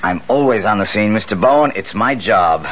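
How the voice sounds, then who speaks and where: Nosy eager crime reporter, low swooping voice, emphatic, chatty, radio play. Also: male voice, expressive, normal speed, fairly bright tone, soft background music. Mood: keen, confident. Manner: talkative, hesitant, emphatic. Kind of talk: 1970s radio drama acting. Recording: dull, noisy 1970s radio recording.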